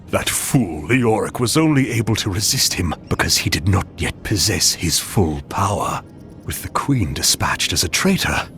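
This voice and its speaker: An actor playing a sinister, scheming male cult archbishop who speaks smoothly. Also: low voice, a bit bright.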